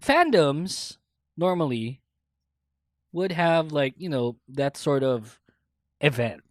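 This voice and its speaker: A woman's voice: low voice, expressive, takes it slowly, no room sound, studio quality. A woman in her late twenties with a Filipino accent, hosting a casual podcast chat and rambling with many fillers and restarts. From Philippines. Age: 20s. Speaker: podcast host.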